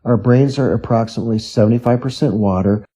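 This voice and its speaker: Dark, husky man reading scripted tips. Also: medium pitch, fast. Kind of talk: scripted reading of self-help and study tips.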